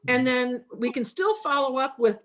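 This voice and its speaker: Woman, committee member, hesitant, halting speech. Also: sits high, a bit bright. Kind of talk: meeting discussion.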